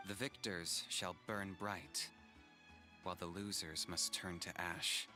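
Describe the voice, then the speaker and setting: An actor playing a serious young warrior in a video game, calm, reflective and measured. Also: male voice, low voice, exaggerated voice. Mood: solemn. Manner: measured, solemn. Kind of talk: video game character acting. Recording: faint music behind the voice.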